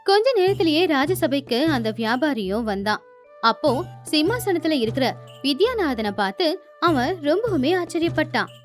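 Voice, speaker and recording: A woman narrating a scripted animated moral story. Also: sits high, normal speed, faint music behind.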